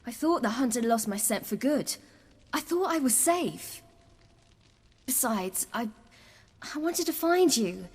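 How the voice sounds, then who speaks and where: An actress playing a young hunted woman warrior in a video game, earnest, wry and defiant, telling things in a measured way. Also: on the high side, animated, a little mellow, slightly rough, in a large hall, heavily acted. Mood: grave, recounting. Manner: measured, earnest storytelling with dry wit. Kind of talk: video game dialogue acting.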